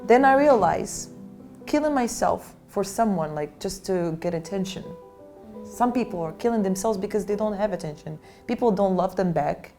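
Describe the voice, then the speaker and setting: A woman's voice: medium pitch, expressive, normal speed, mellow, faint music behind. A woman from Morocco, a panel guest, telling her own story in an earnest, emotional way. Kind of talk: candid personal discussion.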